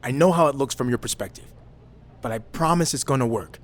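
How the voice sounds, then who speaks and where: An actor playing an ambitious, determined champion wrestler in scripted game dialogue, earnest and persistent. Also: male voice, mid-range voice, fairly quick, close to an everyday voice.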